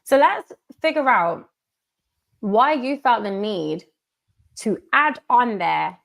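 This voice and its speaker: A woman from the United Kingdom giving commentary in a measured, emphatic way, repeating her key phrases. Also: fairly high pitch, big pitch swings, vocal fry, a bit bright. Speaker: commentator in a played video. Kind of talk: monologue commentary.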